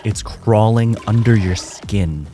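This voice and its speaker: Low-voiced man running a tabletop game. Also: slow, music in the background. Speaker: game master.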